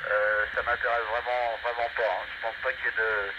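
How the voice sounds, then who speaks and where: Older male radio operator, mid-pitched, reflective and opinionated, speaking through heavy static on a muffled FM link. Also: level tone, fairly quick. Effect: two-way FM radio transmission. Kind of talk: casual ham radio conversation. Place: in a vehicle, mobile station. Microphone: radio transceiver microphone.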